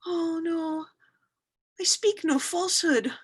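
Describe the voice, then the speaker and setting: High-voiced, slow-paced frightened figure played by a woman, declamatory mock-Elizabethan horror parody, insistent and dramatic. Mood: frightened, pleading. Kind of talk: theatrical staged reading in mock Elizabethan English.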